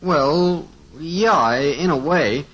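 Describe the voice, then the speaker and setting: A man's voice: on the high side, slow pace, a bit bright. An actor playing an eager, scheming teenage son in a 1950s radio sitcom, earnest and breezy, fumbling his words. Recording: dull lo-fi old radio transcription.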